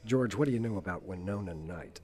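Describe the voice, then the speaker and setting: A man's voice: sits low, expressive, brisk, warm tone, slightly rough, soft background music. An actor playing a hardboiled private investigator in a noir radio play, terse and dry as he narrates and asks questions. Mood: probing, dry. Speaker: lead actor and first-person narrator.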